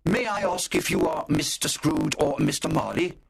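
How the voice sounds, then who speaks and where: Man acting polite portly gentleman, high lively voice. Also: normal speed, creaky, husky, exaggerated voice. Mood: polite, inquiring. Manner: courteous, formal inquiry. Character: polite fat gentleman caller.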